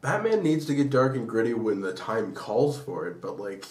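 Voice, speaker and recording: A man co-hosting a podcast in casual chat, with a blunt, informal and halting way of talking. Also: medium pitch, very animated, a little mellow. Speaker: podcast co-host. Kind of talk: casual chat about pop culture. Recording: roomy echo, distant from mic.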